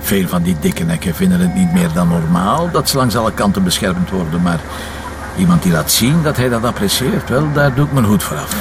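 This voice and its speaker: An actor playing a wry, brisk Flemish police commissioner in a naturalistic radio drama. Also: male voice, sits low, background noise, close to an everyday voice. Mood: relaxed, wry. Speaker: lead actor.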